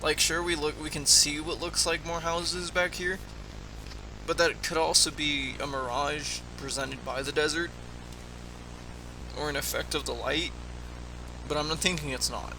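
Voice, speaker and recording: A man's voice: fairly high pitch, background noise. A man giving casual, off-the-cuff reaction commentary as a host, musing and questioning aloud as he goes.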